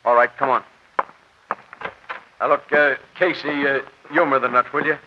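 A nervous store detective played by a man in a vintage radio crime drama. He speaks in hesitant, placating slang at a middle pitch. The old recording is muffled and faintly noisy. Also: fairly even intonation, moderate pace, natural voice. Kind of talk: 1940s radio acting.

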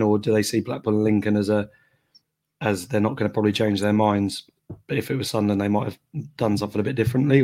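A man with a croaky voice hosting a casual football podcast and greeting listeners. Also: on the low side. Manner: chatty, reads out listener greetings. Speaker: podcast host.

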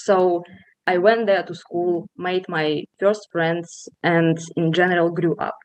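A young woman from Russia, a guest on a podcast interview, speaking in an earnest, measured way with some fillers. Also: on the low side, fairly even intonation, no room sound, low-quality recording. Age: young adult.

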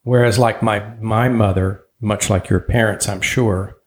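A man's voice: fairly low pitch, steady tone, measured pace. A man born in Germany, a native German speaker, interviewing a guest and often rambling and restarting his sentences. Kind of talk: casual interview conversation.

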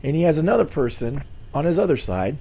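A slightly hoarse middle-aged man hosting a weekly church show, giving an earnest, informal Bible lesson with many fillers and restarts. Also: a little high, sing-song, fast talker, warm tone. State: slightly hoarse, losing his voice.

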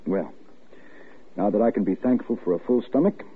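A man's voice: on the low side, moderate pace, breathy edge. An actor playing an easygoing, indulgent but dismissive family father in a folksy, paternal way, in vintage radio style. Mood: fond, relaxed. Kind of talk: 1940s radio acting. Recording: muffled, tinny old radio recording.

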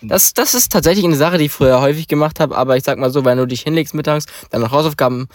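A man's voice: fairly high pitch, fast talker, dry recording, clean studio sound. A teenage young man chatting casually as podcast co-host, rambling and hesitant with many filler sounds. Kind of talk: casual chat.

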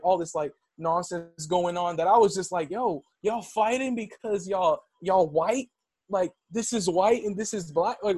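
A man's voice: sits high, husky, no room sound. A young man of Dominican background telling a personal story in casual conversation, full of "like" and "yo.". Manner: animated storytelling, heavy use of "like".

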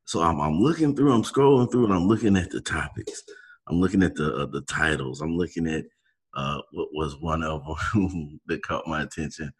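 A man's voice: a bass voice, normal speed, husky. A man interviewing a guest in casual chat, rambling and searching for words with many fillers. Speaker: podcast interviewer.

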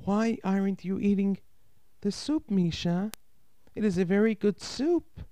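Storybook aunt, played by a male narrator: kind, patient Russian wife, high voice, soothing peacemaker. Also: lively intonation, unhurried, dark tone, no room sound. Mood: coaxing. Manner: soothing, coaxing.

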